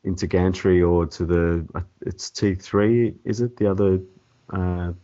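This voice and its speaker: Hoarse low-voiced man with a cold. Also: fairly even intonation, unhurried, warm tone. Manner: hesitant, many ums and restarts. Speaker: template developer guest. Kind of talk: casual interview answers. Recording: dull lo-fi audio.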